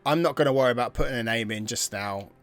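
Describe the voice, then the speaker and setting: A man's voice: medium pitch, fast talker, clean tone. A man giving casual, chatty commentary as he plays a video game, thinking aloud and sometimes trailing off into mutters. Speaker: gaming channel host. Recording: faint game music behind.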